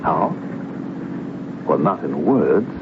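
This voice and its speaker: Earnest secret agent, noisy old radio. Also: male voice, deep voice, big pitch swings, drawn-out words, narrow, tinny audio, exaggerated voice. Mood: earnest, searching. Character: frightened Russian secret agent, earnest grown man. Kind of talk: radio drama acting.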